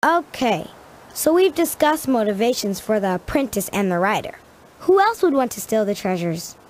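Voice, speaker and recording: A woman's voice: a little high, very animated, moderate pace, darkish tone, soft background music. A woman playing a studious, level-headed young detective in a cartoon game, precise in her speech. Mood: thoughtful. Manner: precise, analytical. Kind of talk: animated game cutscene acting.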